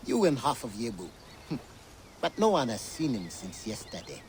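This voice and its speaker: Swooping sing-songy flirt, slow and teasing, noisy outdoor bg. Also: male voice, mid-range voice, very animated, darkish tone. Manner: sing-song, teasing, whispery asides. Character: flamboyant flirtatious male employer, teasing. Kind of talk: video game cutscene.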